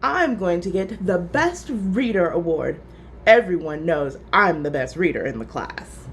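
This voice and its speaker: A woman's voice: lowish voice, animated, measured pace, slight background noise, voice pushed down. A woman voicing a proud, boastful young schoolboy in a storybook, smug and insistent.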